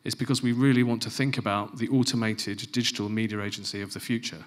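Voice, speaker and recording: A middle-aged man giving a conference presentation on a large stage into a handheld mic, stressing key phrases and dropping to quieter asides. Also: mid-range voice, steady tone, fast. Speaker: conference speaker. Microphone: handheld microphone.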